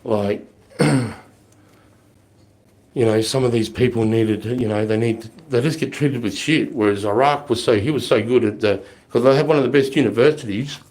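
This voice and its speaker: A man's voice: mid-range voice, normal speed, a little mellow. A man, rambling bluntly and swearing as he answers viewer questions on a live stream, his voice a little off from a flu. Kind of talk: casual live Q&A chat.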